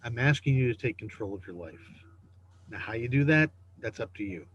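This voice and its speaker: A man playing a cautious, firm and supportive parent of a teenager in a screenplay table read, with a husky voice. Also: mid-range voice, animated, a little fast, muffled recording, slight background noise, natural voice. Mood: earnest, steady.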